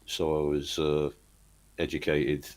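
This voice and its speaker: A middle-aged man from Manchester, telling long rambling stories from his life in a relaxed chat, with lots of restarts. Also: rumbling low, takes it slowly. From Manchester, England. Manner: rambling storyteller, restarts often, chuckles. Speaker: guest, band singer. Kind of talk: casual interview chat.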